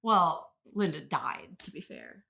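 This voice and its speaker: Female wellness influencer, played by an actress: sincere, image-conscious, deadpan conversational delivery for a comedy mock interview. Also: low voice, slow pace, gravelly, heavily acted. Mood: proud, matter-of-fact. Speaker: podcast guest. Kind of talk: improvised mock interview, casual chat.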